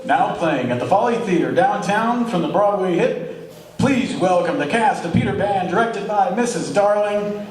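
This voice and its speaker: A man's voice: sits high, lively intonation, moderate pace, a little mellow. A man narrating and announcing from a script in an old-time radio style stage show. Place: large hall, live stage show. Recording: very echoey hall, distant mic, some noise.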